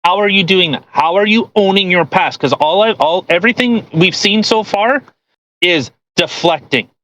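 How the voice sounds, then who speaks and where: A man with a voice a little higher than average talks casually as a podcast co-host. He is sarcastic and argumentative, picking things apart in a mocking way. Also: animated, normal speed, sharp tone, rough recording. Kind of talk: casual chat, mocking commentary on a post read aloud.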